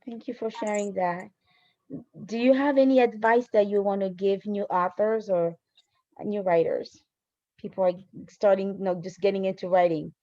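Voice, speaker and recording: A woman with a soft-edged, mellow voice hosts a conversational interview. She is affirming and encouraging, and the sound is close, dry and a little dull. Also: mid-range voice, steady tone, moderate pace, dry recording. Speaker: interview host.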